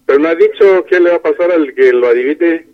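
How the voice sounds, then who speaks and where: A man's voice: a little high, a little fast. Male radio caller on muffled phone. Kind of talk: casual phone chat. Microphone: telephone. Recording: narrowband phone line on radio.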